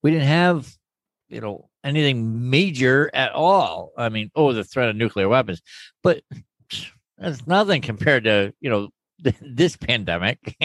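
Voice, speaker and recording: A man's voice: mid-range voice, expressive, a little slow, fairly bright tone, no echo. A man in his fifties co-hosting a casual podcast chat, joking and rambling off on tangents. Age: 50s. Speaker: podcast co-host.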